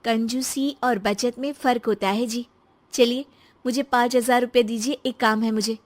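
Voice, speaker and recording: A woman's voice: a little high, fairly even intonation, moderate pace, close to an everyday voice. A woman voicing a practical young wife with a pointed, complaining manner, in a cartoon story. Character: neglected, practical young wife, nagging and reproachful.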